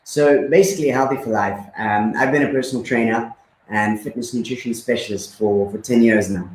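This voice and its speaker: Relaxed man from Zimbabwe in an echoey room. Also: medium pitch, a little fast, slight background noise. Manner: relaxed, with many fillers and repeats. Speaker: guest. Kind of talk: casual conversation.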